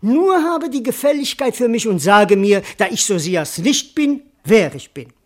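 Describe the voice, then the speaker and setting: An actor playing a cowardly, bewildered servant in a classical verse radio comedy, wordy, plaintive and comically indignant. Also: male voice, high-pitched, sing-song, unhurried, exaggerated voice. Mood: resigned. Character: bewildered, cowardly male servant doubting his own identity.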